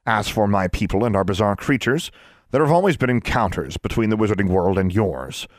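A man voicing a stout older wizard leader who speaks calmly and condescendingly. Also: lowish voice, a little fast, no echo. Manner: calm, dry, condescending. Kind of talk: audiobook character voice.

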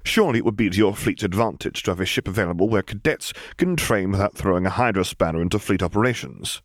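A man voicing an earnest, courteous male admiral who speaks in a formal, measured way, in an audiobook. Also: low voice, no room sound, clean studio sound. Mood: formal, careful. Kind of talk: audiobook character voice.

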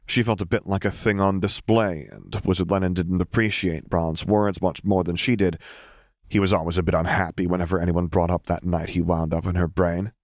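A man reading a story aloud as an audiobook narrator, in an even, measured way. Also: low-pitched, no room sound, clean studio sound. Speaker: audiobook reader.

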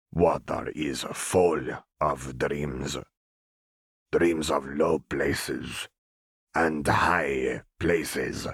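A huge, cryptic fish-folk cook, rumbling out halting, broken phrases. His voice sits extremely low and gravelly, with a husky edge, and each word drags out very slowly. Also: a bit bright, no echo, clean studio sound, heavily acted.

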